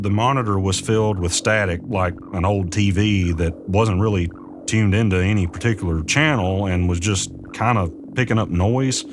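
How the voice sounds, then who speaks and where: Guy playing an uneasy man telling his creepy story, real low voice, slow-burn suspense, music behind him. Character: uneasy man recounting eerie events with his possessed phone. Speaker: narrator and lead actor. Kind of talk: first-person audio drama narration.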